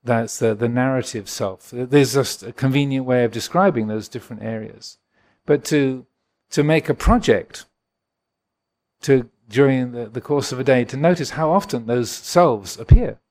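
A man in his sixties from England, a Buddhist monk giving a gentle, reflective dharma talk and answering questions at a retreat. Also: mid-range voice, normal speed. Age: 60s. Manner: gentle, reflective, chuckles often.

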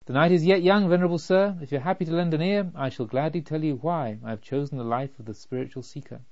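A man's voice: fairly high pitch, quick pace, darkish tone, close to an everyday voice. A man voicing a noble, hospitable young spiritual seeker in a storybook reading, courteous and open. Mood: welcoming. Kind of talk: storybook narration. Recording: slightly dull, lo-fi.

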